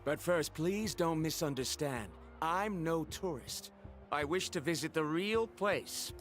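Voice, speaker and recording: An actor playing an earnest, determined scholar in an anime video game, speaking formally and politely. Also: male voice, on the high side, measured pace, faint music behind, lightly acted. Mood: solemn, determined. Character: earnest, formal male scholar hiring a guide.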